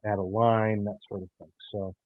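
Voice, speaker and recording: A man answering as an interview guest, haltingly, often repeating words as he searches for phrasing. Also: on the low side, level tone, no echo. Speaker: audio drama writer, interview guest. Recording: tinny phone-like call audio.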